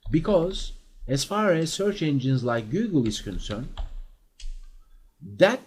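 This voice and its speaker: A man talking through a screen-recorded software tutorial as an instructor, in an explanatory way with fillers and quick checks for understanding. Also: medium pitch, husky.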